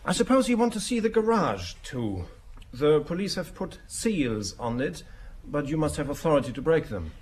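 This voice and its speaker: An actor playing a formal, guarded foreign gentleman in an audio drama, speaking with stiff courtesy and precise phrasing. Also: on the high side, very animated, moderate pace, hardly any pauses. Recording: clear background noise bed.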